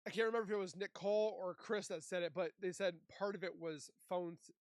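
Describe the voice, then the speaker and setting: Woman commentator, halting, in a dry recording. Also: lowish voice, steady tone, a little mellow, dry recording. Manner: halting, searching for words. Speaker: host giving commentary. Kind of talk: casual unscripted reaction commentary.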